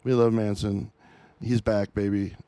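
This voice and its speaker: Earnest, halting man in his forties. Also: on the low side, level tone, mellow, smooth, no room sound. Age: 40s. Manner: earnest, halting, many fillers and restarts. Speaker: music reaction host. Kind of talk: unscripted opinion monologue.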